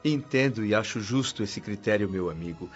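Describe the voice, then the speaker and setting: A man's voice: fairly low pitch, animated, brisk, close to an everyday voice. A Brazilian man playing a homesick newcomer spirit in a radio drama, asking things politely, with anxious, hesitant curiosity. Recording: dull lo-fi audio with music underneath.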